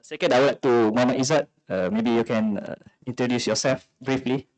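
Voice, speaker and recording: A man moderating a forum panel and introducing speakers in halting, non-native English. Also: mid-range voice, a little mellow, no echo.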